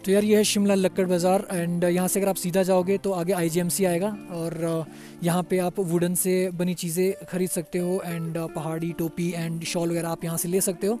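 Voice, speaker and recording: A man's voice: sits high, little pitch movement, moderate pace, warm tone, soft background music. A young man, giving a chatty walking-tour commentary outdoors on a busy street, slipping English words into his talk. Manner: chatty, says "yaar" a lot, mixes in English. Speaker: travel vlogger.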